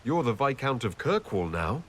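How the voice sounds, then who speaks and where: A man's voice: mid-range voice, big pitch swings, normal speed, slight background noise, lightly acted. A man voicing a courteous elven leader in a fantasy video game, polite, earnest and composed. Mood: mildly amazed. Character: courteous young elven male leader of an Inquisition.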